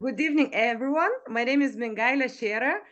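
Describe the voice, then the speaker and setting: A woman's voice: high-pitched, a little fast, a bit bright, highs cut off. A woman from Lithuania giving earnest welcome remarks on a video call, with a few fillers. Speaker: zone coordinator.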